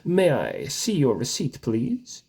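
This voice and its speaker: A man's voice: medium pitch, wildly swooping pitch, takes it slowly, gravelly, a little mellow, breathy edge, heavily acted. A man voicing a polite, officious police officer questioning people in a comic storybook reading.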